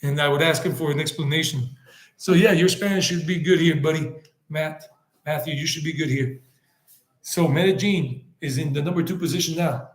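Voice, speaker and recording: A man talks casually on a live stream, rambling from point to point, answering viewers and dropping Spanish words into his talk. His voice sits a bit high for a man. The room gives it a noticeable echo. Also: normal speed. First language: English. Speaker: travel vlogger hosting a live stream.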